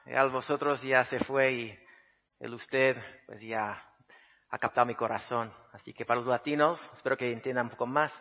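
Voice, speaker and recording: A man's voice: mid-range voice, steady tone, normal speed, long reverb tail, highs cut off. A man from Spain whose Spanish has taken on a Mexican accent, preaching a sermon as a guest missionary pastor in an earnest, conversational way with frequent hesitations. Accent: Spanish with Mexican Spanish influence.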